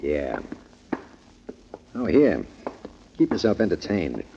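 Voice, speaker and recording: An actor playing a menacing, smug racketeer who sneers and taunts in a 1940s radio crime drama. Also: male voice, sits low, huge pitch swings, takes it slowly, gravelly, exaggerated voice. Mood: sly, amused. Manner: sneering, taunting, tough-guy talk. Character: menacing, smug male racketeer muscling into boxing. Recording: muffled, noisy 1940s radio recording.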